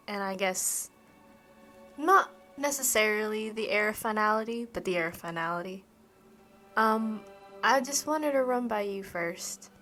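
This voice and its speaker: A woman acts out a resolute, quietly caring dragon-rider in a tabletop game. She speaks earnestly and a little hesitantly, in a medium pitch with faint music behind.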